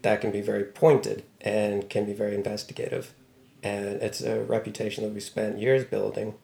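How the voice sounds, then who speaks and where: A man's voice: on the low side, level tone, moderate pace, gravelly, darkish tone, roomy sound. A man from Baltimore, talking casually and thoughtfully as an interview guest, with a wry tone and many fillers. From Baltimore, USA. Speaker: news writer, guest.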